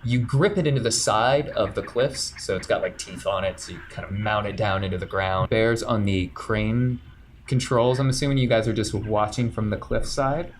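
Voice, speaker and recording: A man running a tabletop role-playing game, describing the scene to the players in a relaxed way. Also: fairly low pitch, moderate pace, a little hiss or hum. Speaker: game master.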